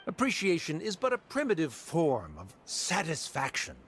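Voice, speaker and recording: A man's voice: fairly high pitch, huge pitch swings, moderate pace, a bit bright, a little hiss or hum. An actor playing a condescending male scholar in a game, speaking formally and lecturing his opponent. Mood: dismissive.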